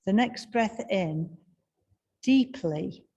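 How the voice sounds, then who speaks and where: A woman guiding a breathing meditation in a slow, soft and soothing way, with long pauses. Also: medium pitch, animated, slightly rough, lo-fi sound. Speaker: meditation guide.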